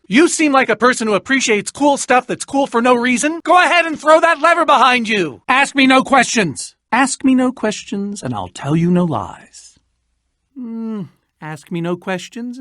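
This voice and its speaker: An eccentric game inventor with a very animated, swooping delivery. He is boastful but jittery, wordy and theatrical, often trailing into hesitant asides. The sound is close and dry. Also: sits high, dry recording, heavily acted. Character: eccentric, boastful, nervous male inventor and adventurer. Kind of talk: video game character voice acting.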